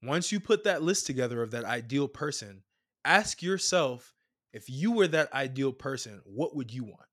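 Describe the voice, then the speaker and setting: A man from Brooklyn talks as a guest coach on a podcast. His pitch is average for a man, and he gives advice conversationally, stressing and repeating phrases to drive each point home. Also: expressive, moderate pace, fairly bright tone. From Brooklyn, New York.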